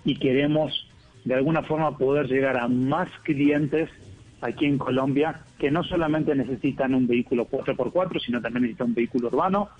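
A man with an Argentine accent, a car company executive speaking as a guest in a radio interview by phone, in measured corporate phrasing with fillers. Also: mid-range voice. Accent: Argentine Spanish. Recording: tinny phone line.

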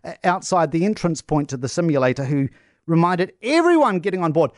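A New man telling a travel story on breakfast radio with animated enthusiasm. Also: on the high side, a little fast, no echo, studio quality. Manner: animated storytelling, talks up attractions. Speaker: travel correspondent.

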